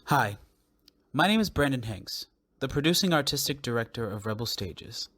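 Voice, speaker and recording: A man with a medium-pitched voice delivers a scripted announcement. He speaks as an artistic director addressing listeners at the close of a show. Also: lively intonation, normal speed, a bit bright. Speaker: theater producing artistic director. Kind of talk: scripted closing announcement and appeal.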